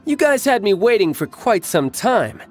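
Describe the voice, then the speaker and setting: A man's voice: high-pitched, sing-song, moderate pace, faint music behind. An actor playing a playful, boastful young male fighter who relishes combat in a video game, breezy and teasing. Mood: smug playful.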